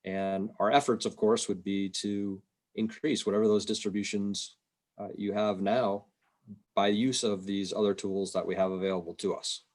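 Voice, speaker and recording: A man giving an informal, explanatory presentation on a video call, plain-spoken and somewhat halting. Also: on the low side, flat delivery, darkish tone. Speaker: state revenue agency policy presenter. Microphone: video call microphone.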